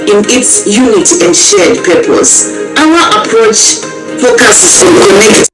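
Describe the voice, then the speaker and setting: A woman narrates a scripted promotional piece at a medium pitch. The voice is echoey, with very loud music playing behind it. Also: expressive, a little slow.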